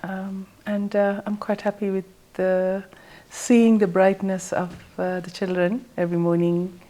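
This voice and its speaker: A middle-aged woman, born in Sri Lanka, telling her life story as an interview guest. She speaks plainly and thoughtfully, pausing on "um" and "uh" while she finds her words. Her voice sits at an ordinary middle pitch for a woman. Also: little pitch movement, warm tone. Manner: plain, reflective storytelling with hesitations. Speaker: teacher and school owner, guest.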